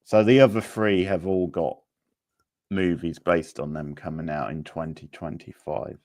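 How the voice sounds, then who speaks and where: A man casually hosting a live quiz, reading out questions, options and scores in a halting way. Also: low voice, fairly even intonation, a little mellow, no room sound, studio quality. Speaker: quiz host.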